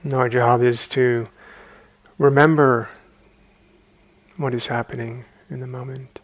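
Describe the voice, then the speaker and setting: A man guiding a meditation as a teacher, speaking calmly and unhurriedly in short phrases with long pauses. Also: mid-range voice, dry recording. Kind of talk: guided meditation.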